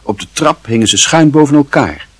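A man reading scripted literary narration for a radio play in a measured storytelling manner. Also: medium pitch, lively intonation, slightly rough, dry recording.

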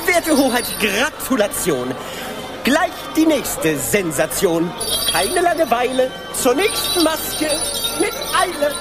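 Radio play casting: showy riddling jester, high and slow, sing-song rhymes over music. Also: female voice, sing-song, heavily acted. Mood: mischievous, showy. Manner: theatrical, sing-song declamation. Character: playful court jester posing rhymed riddles. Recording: music behind.

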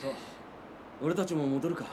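An actor playing a serious police detective in a radio drama, terse and dramatic. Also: male voice, fairly high pitch, fairly even intonation, normal speed, mellow, slight background noise, natural voice. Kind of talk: Japanese radio drama acting.

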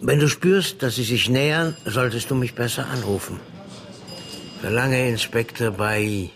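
An actor in a German radio play playing a shrewd, threatening police inspector who interrogates bluntly in slang. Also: male voice, medium pitch, a little slow, a crowd in the background, close to an everyday voice. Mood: calculating.

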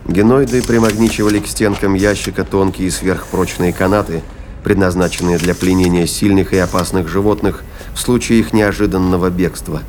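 Deep-voiced male narrator. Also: fairly even intonation, normal speed, a little mellow, a little hiss or hum. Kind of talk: scripted dramatic narration of science fiction.

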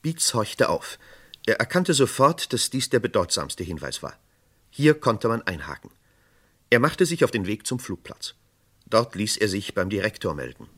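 A man narrating a vintage radio crime drama in a measured, matter-of-fact way. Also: fairly low pitch, very animated, a bit bright, slightly rough.

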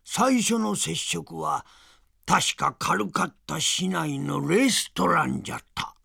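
An actor playing a crusty old grandfather adventurer, gravelly and dramatic, telling his story in old-man diction in a Japanese audio drama. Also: on the high side, takes it slowly, sharp tone, no room sound, clean studio sound, exaggerated voice. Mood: reminiscing.

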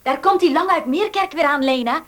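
Casting: chirpy female confidante in radio drama, goading, high-pitched. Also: a little fast, a bit bright. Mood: teasing, urging. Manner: teasing, encouraging. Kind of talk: Dutch radio play acting.

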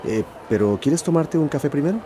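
A man's voice: fairly high pitch, lively intonation, fairly quick, dark tone, close to an everyday voice. An actor playing an affectionate, flirty man in a radio drama. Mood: tender. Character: affectionate, flirty man courting his sweetheart.

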